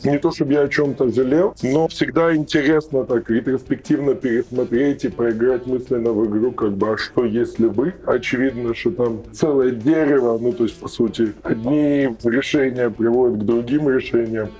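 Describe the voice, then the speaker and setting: A man's voice: medium pitch, normal speed, few pauses, dark tone, husky, faint music behind, rough recording. A man with a strained voice speaking as a podcast guest, giving hesitant, reflective answers that circle around the point. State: voice strained after losing it the day before. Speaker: podcast guest, professional trainer. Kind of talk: reflective interview talk.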